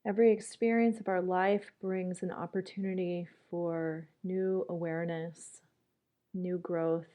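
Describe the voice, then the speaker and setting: Slow, low-pitched woman guiding meditation. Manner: calm, gentle, unhurried with long pauses. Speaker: meditation guide. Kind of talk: guided meditation.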